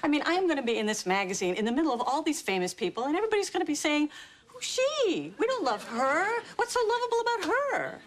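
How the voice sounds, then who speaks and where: A woman's voice: high voice, very animated, quick pace. An actress playing an anxious, self-deprecating woman with a wry, nervous way of talking. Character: anxious self-conscious woman at her first photo shoot. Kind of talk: sitcom acting. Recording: sitcom with studio audience laughter.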